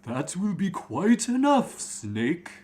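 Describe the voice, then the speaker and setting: A man's voice: high-pitched, huge pitch swings, slow pace, a little mellow, a little hiss or hum, exaggerated voice. A man voicing a stern, elderly blind martial arts master in an amateur fantasy story reading.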